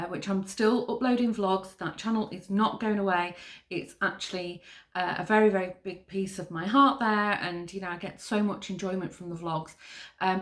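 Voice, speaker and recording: Chatty Manchester woman hosting a podcast. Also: medium pitch. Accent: Northern English (Manchester). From Manchester, England. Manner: chatty, rambling, with many fillers. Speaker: podcast host. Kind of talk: casual chat.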